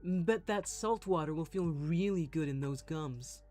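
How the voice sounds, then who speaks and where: Man acting out a possessive, foul-mouthed young guy, slow delivery. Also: a little high, a little mellow, faint music behind, lightly acted. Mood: alarmed, threatening. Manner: aggressive, swearing, commanding. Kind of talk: dramatic audio roleplay reading.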